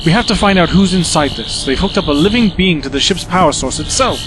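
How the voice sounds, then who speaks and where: Brisk, decisive sci-fi hero played by a man, higher male register, animated delivery, audio drama with faint music. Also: rough recording. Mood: grave, resolute. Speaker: actor.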